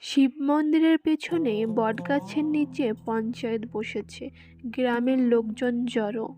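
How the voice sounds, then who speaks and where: A woman reading scene directions as scripted narration for an animated story. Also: a little high, measured pace. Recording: music behind the voice. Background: music.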